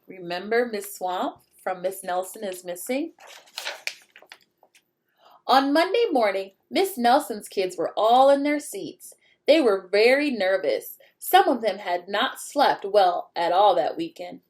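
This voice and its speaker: A woman reading a picture book aloud to young children in an expressive way, pausing to ask the listeners questions. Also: medium pitch. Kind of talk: animated children's read-aloud with asides to the listeners.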